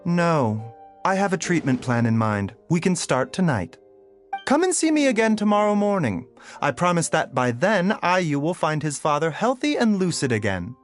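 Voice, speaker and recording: A courteous, composed male doctor in an anime game. The voice sits low, with expressive rises and falls. The delivery is measured and reassuring, over faint music.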